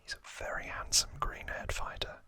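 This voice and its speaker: Guy doing asmr, all breathy whisper, crisp and bright, slow and soft. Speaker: host of an ASMR channel. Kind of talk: whispered ASMR trivia, part reading, part casual asides.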